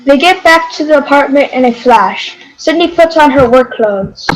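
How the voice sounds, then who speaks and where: A woman's voice: high voice, lively intonation, moderate pace, rough recording. A woman reading scripted narration as a story narrator.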